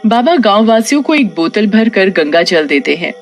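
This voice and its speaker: A story narrator delivers scripted lines with lively intonation. The voice sits at a middle pitch over faint background music. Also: moderate pace.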